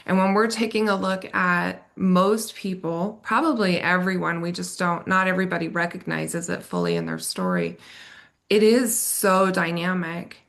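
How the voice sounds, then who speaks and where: A woman's voice: on the low side, expressive, normal speed, a bit bright. A woman interviewer in a reflective conversation, affirming and summing up what she has heard. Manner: affirming, summarizing what guest said.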